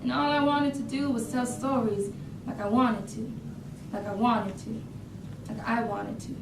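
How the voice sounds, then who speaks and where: Actress as yearning woman poet, lyrical stage monologue, higher voice, echoey noisy room. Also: fairly even intonation, unhurried, distant mic. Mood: mournful, defiant. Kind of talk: staged reading of poetic monologue.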